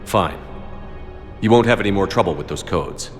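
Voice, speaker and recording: A stern sci-fi game commander with a very low voice. He talks in curt, dry, commanding phrases, with faint music behind him. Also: expressive, moderate pace. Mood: cold, firm. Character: stern, curt, authoritative male military commander of a spaceship. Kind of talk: video game voice acting.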